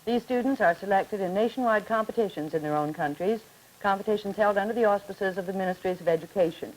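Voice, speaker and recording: A woman moderating a 1950s television discussion, asking students brisk, polite questions on old television audio. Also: mid-range voice, rough recording.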